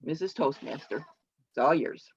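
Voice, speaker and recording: A woman giving a personal speech to her club over a video call, halting and explanatory with frequent restarts. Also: sits low, husky. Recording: dull lo-fi call audio.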